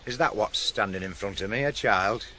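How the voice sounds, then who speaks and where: An actor in a 1980s radio drama playing a curt, guarded working-class father with a Northern English accent and a gruff, dismissive way of talking. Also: fairly low pitch, normal speed, sharp tone. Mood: bitter. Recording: faint noise on old radio broadcast.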